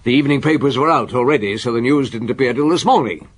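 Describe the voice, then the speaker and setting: An actor playing a gruff, world-weary chief police inspector who questions people with terse authority in a radio drama. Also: male voice, mid-range voice, fast talker, slight background noise, lightly acted. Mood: probing, stern.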